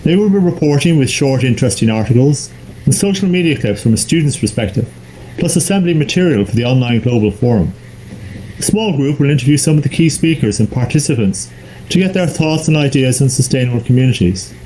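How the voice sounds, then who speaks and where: A man from Ireland, hosting and reading a scripted welcome in a measured way. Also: mid-range voice, lively intonation, dark tone. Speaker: host. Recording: noisy, somewhat distant recording.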